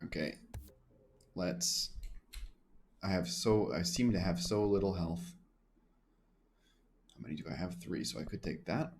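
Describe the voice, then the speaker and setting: A man with a lowish voice talks through a first playthrough of a game, thinking aloud and half-muttering menu text. Faint ambient noise sits under his desk-mic sound. His delivery is casual, rambling and chatty. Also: unhurried, pauses often. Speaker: gaming streamer. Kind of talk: casual let's play commentary.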